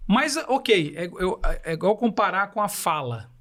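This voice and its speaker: High-voiced man with a Belém accent. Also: very animated, measured pace, a bit bright. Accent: Paraense Brazilian Portuguese. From Belém do Pará, Brazil. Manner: didactic, uses rhetorical questions. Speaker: podcast guest. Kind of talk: explanatory podcast talk.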